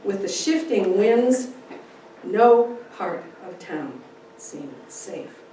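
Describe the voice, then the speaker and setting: A woman reads a story theatrically, taking her time and swinging her pitch expressively. She is heard from a distance in a strongly reverberant room. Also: big pitch swings, dark tone. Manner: theatrical, deliberate. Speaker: speaker giving a dramatic reading. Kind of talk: dramatic first-person story narration. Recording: echoey room, distant mic, faint noise.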